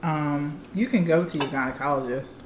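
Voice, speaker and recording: Candid low-voiced woman in her thirties. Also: moderate pace, roomy sound, background noise. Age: 30s. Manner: candid, chatty, explains plainly. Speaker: channel co-host. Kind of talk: casual chat.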